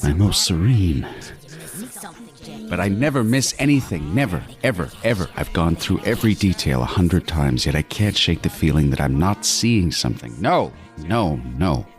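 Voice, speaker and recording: Man acting obsessive serial killer, rock-bottom pitch, brooding, meticulous, menacing horror podcast narration, music underneath. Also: big pitch swings, normal speed. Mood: agitated, nervous. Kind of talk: first-person horror audio drama narration.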